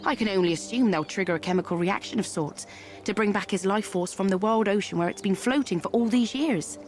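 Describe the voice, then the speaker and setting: An actress playing a wry, worldly herbalist and fortune-teller in a game cutscene, musing with a theatrical touch. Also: female voice, mid-range voice, fairly quick, darkish tone, lightly acted. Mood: musing, sardonic. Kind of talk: video game cutscene acting. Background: music.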